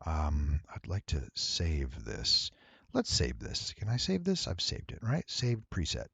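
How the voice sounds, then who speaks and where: A man giving a casual, unscripted software tutorial in a deep, husky voice, with wry, playful asides. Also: wildly swooping pitch, a little slow, no echo.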